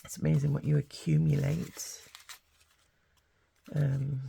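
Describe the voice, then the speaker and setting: An older woman, croaky with hay fever, chatting casually as she works through a craft tutorial. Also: deep voice, slow pace, slight background noise. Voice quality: gravelly. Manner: chatty, relaxed, thinking aloud. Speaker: craft channel host.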